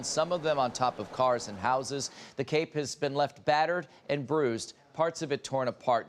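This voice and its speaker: Higher-voiced male news anchor, faint noise. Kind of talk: scripted breaking news narration.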